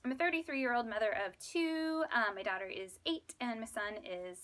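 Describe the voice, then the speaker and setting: Bright-voiced woman vlogger in her thirties. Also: fairly high pitch, normal speed. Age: 30s. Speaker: vlogger and fifth grade teacher. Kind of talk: casual chatty vlog to camera.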